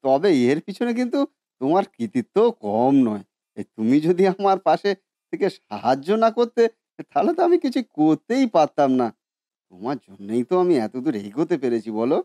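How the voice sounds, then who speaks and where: A man's voice: fairly high pitch, very animated, normal speed, mellow, no room sound. A man voicing a good-natured, modest food seller who talks earnestly and hesitantly in a cartoon story. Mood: grateful. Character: humble, good-natured biryani seller and husband. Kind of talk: Bengali cartoon story voice acting.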